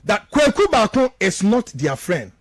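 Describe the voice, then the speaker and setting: A man's voice: sits high, sing-song, moderate pace, dry recording, clean studio sound. A Ghanaian man giving emphatic, repetitive political commentary as he switches between two languages, full of rhetorical turns.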